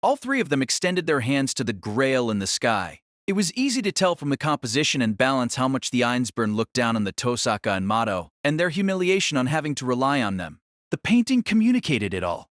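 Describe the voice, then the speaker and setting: A man's voice: mid-range voice, sing-song, a little fast, a bit bright, no room sound, clean studio sound. A man narrating a light novel in a dramatic, scripted storytelling style.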